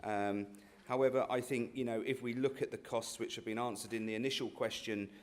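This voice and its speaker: A man councillor answering a question at a meeting, halting and measured with many fillers. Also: medium pitch, fairly even intonation.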